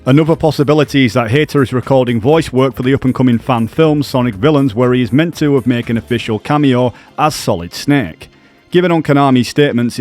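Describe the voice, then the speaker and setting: A man's voice: medium pitch, a little mellow. A man presenting gaming news as scripted video narration.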